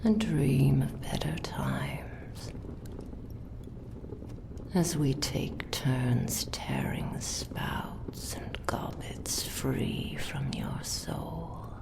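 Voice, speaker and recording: A woman playing a cruel, possessive demonic dark mage in a menacing, formal ASMR roleplay, breathy and gravelly. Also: a bass voice, big pitch swings, exaggerated voice. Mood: possessive, threatening. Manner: menacing, taunting, formal and deliberate. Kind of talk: roleplay ASMR, villain monologue.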